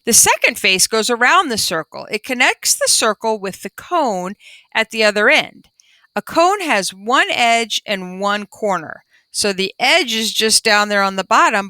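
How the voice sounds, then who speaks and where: Woman teacher doing a read-aloud for little kids, bright crisp voice, really dry sound, explains stuff simply. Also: mid-range voice, no room sound. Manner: simple, instructive explanations for young children. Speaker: primary school teacher. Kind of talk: reading a children's book aloud with explanatory asides.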